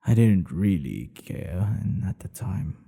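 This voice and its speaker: A man playing a tender, devoted king in an intimate ASMR roleplay, speaking in an earnest, hushed, halting way. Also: deep voice, sing-song, gravelly, husky, long reverb tail, exaggerated voice. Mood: tender, confiding. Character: tender, devoted male monarch hiding a secret lover.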